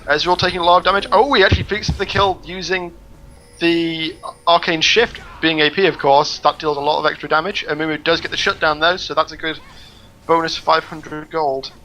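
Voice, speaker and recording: A man giving brisk play-by-play commentary on a video game match. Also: a little high, fairly even intonation, pushed and bright, soft background music. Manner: brisk, descriptive play calling.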